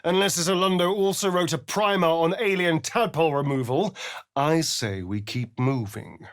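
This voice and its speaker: An actor playing a suave, sardonic vampire rogue in a video game, speaking in an arch, drawling, theatrical manner. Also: male voice, on the high side, moderate pace, no room sound, studio quality, lightly acted. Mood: dry, sardonic. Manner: theatrical, arch, drawling, with dramatic swells and hushes. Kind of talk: video game character voice lines.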